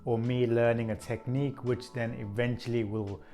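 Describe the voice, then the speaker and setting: A man's voice: mid-range voice, faint music behind. Earnest man in his thirties who stutters. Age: 30s. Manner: earnest, even and measured, now and then slowed by a stutter. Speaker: channel host. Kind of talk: scripted vlog talk to camera.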